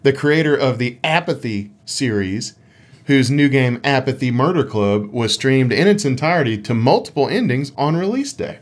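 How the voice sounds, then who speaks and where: A man's voice: mid-range voice, lively intonation, normal speed. A man co-hosting a casual gaming podcast with a dry, tongue-in-cheek way of talking. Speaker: podcast co-host.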